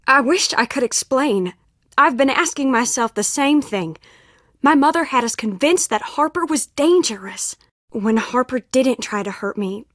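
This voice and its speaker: A woman's voice: on the high side, a bit bright, dry recording. Earnest, troubled young woman, dry recording. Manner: urgent, pleading. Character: earnest, troubled young woman confiding dark family secrets. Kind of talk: scripted game voice acting.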